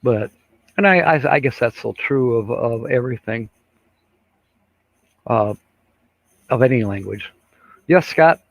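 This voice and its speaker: A man's voice: mid-range voice, animated, unhurried, gravelly, pauses often, no room sound. An older man hosting a casual live stream, rambling and chuckling, with many fillers as he reads out viewer comments. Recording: dull lo-fi sound.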